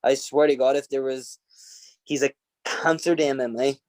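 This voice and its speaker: A man's voice: medium pitch, fairly even intonation, a little mellow, no echo. Fiery, profane Irish man. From Ireland. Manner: blunt, profane and fiery, quick to laugh. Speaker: podcast guest. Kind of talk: casual interview chat.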